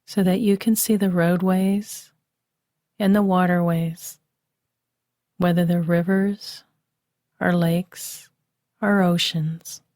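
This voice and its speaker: A woman leads a bedtime relaxation meditation in a soft, soothing voice. She speaks very slowly in a flat, even tone with a mellow, slightly low voice. The recording is dry and close, like a studio voice-over. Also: no room sound. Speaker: meditation guide.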